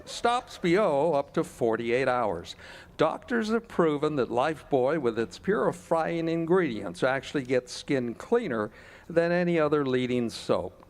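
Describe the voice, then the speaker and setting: A man reading a mid-century radio advertisement as an announcer, with an emphatic sales pitch. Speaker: commercial announcer. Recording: faintly noisy vintage-style radio ad.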